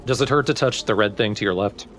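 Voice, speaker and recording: A man's voice: lowish voice, fast talker, a bit bright, faint music behind. A man co-commentating on a game with wry, joking casual banter. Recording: faint background noise. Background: game sound.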